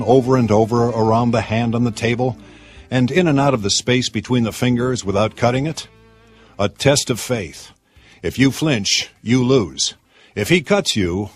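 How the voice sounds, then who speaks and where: Audiobook narrator, male, husky mid-range voice, deliberate delivery of hardboiled detective fiction, dry sound. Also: medium pitch, dry recording. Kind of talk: scripted first-person detective fiction narration.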